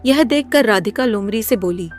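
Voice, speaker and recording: A woman's voice: medium pitch, lively intonation, moderate pace, soft background music. A woman narrating a scripted moral story as a storybook narrator.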